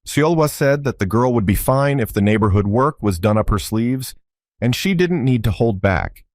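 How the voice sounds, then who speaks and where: Deep-voiced man narrating a recap. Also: no echo, studio quality. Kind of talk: scripted story narration.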